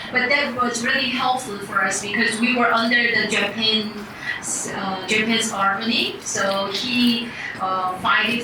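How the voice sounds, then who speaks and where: Korean woman, conference presenter, halting delivery, slightly high voice, distant mic in a very echoey hall. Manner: halting, many fillers and restarts. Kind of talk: academic talk in English. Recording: echoey room, distant mic, background noise.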